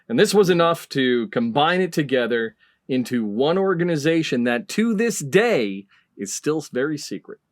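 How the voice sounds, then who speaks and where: Male storyteller, slightly high, lively pitch, deadpan. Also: normal speed, dry recording, clean studio sound. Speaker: panelist. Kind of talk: telling a made-up story for a game.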